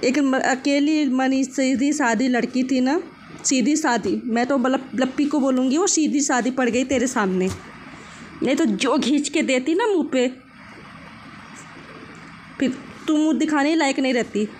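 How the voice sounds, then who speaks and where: Woman ranting casually, really high voice, confrontational and scolding, bit of noise behind her. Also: measured pace, darkish tone. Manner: scolding, confrontational, repeats phrases mockingly. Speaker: vlogger commenting on an online controversy. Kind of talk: casual opinionated rant.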